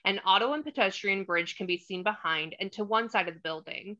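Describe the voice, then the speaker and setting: A woman's voice: mid-range voice, fairly quick, pushed and bright, lo-fi sound. A woman reading screenplay directions aloud as scripted narration for a table read.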